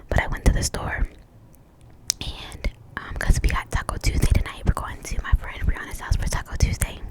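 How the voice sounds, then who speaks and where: An American woman in her twenties telling a casual, chatty ASMR story, slightly short of breath, repeating words softly. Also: moderate pace. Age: 20s. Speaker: ASMR video host.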